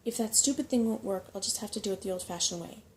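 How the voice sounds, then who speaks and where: A woman's voice: mid-range voice, fast talker, a little hiss or hum, natural voice. A woman voicing a fussy, chatty, know-it-all old male rat in a storybook reading, with emphatic delivery. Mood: cross, flustered.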